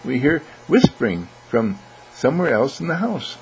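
A man's voice: mid-range voice, very animated, moderate pace, a little hiss or hum, pitched above the performer's own voice, poor audio. A man voicing a scared, earnest seven-year-old boy in a bedtime story, who stumbles a little as he explains his worries. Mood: troubled.

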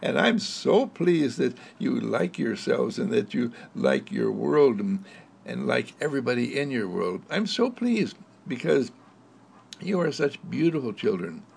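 A man voicing Mister Candle, a kindly talking candle, in a soft, soothing, praising manner. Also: mid-range voice, big pitch swings, unhurried, creaky, husky, heavily acted. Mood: gentle.

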